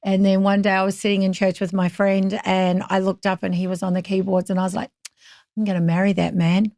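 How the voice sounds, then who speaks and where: Kiwi woman in her 50s, chatty storyteller, mid pitch, dry close sound. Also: little pitch movement, fairly quick, darkish tone, no echo. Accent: New Zealand. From New Zealand. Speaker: interview guest. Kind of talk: casual chat.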